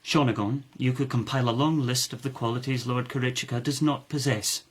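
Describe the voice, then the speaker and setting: An actor playing a calculating, authoritative lord of the palace in a radio drama, speaking in a formal, measured, oratorical way. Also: male voice, mid-range voice, steady tone, close to an everyday voice. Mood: disdainful.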